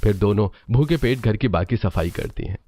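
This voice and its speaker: A man narrating a scripted family tale as a storyteller, with expressive delivery. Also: lowish voice, normal speed.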